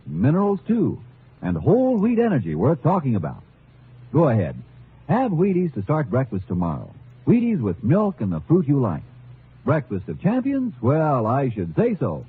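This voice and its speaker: A man delivering a 1950s radio sales pitch, folksy and upbeat. His mid-range voice swings very widely in pitch, over a phone-like old recording with faint noise. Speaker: commercial announcer.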